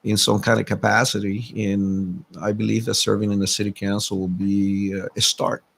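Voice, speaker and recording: Middle-aged guy on a radio talk show, low husky voice, lots of uhs, earnest. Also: level tone, fairly bright tone. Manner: halting, many fillers, earnest. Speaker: city council candidate, guest. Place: radio studio.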